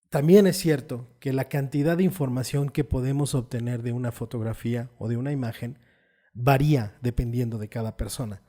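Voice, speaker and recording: A man's voice: mid-range voice, warm tone. A man, a photographer hosting his channel, patiently explaining ideas through everyday examples and tag questions. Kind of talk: explanatory video talk.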